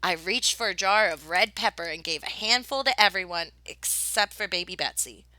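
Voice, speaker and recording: A woman reading a children's picture book aloud in an expressive, dramatic storytelling style. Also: on the low side, moderate pace, no room sound, rough recording. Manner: dramatic, with whispered suspense and excited exclamations.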